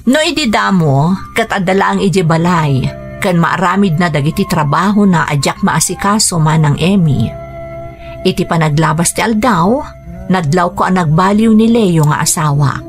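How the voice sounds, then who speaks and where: A woman's voice: lowish voice, expressive, normal speed, soft background music. A woman with an Ilocano accent playing a weary, hurt working wife and mother in a radio drama, dramatic and reproachful. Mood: reflective, sad. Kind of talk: radio drama acting with narration.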